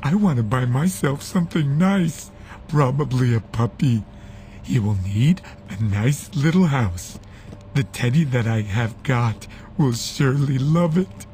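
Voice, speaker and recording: A man's voice: medium pitch, expressive, measured pace, dark tone, slight background noise, close to an everyday voice, low-quality recording. An actor playing a creepy, childlike, oafish policeman henchman in a horror game. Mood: dreamy, childlike. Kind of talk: horror video game acting.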